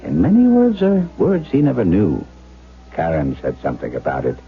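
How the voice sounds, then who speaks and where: Deep-voiced, earnest radio doctor. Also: male voice, huge pitch swings, soft background music. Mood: brooding narration. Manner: deliberate, dramatic, coaxing, theatrical. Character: earnest, coaxing German doctor, devoted to his young patient. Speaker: actor narrating and playing a doctor. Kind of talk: 1970s radio drama acting with diary narration. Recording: tinny phone-like 1970s radio broadcast.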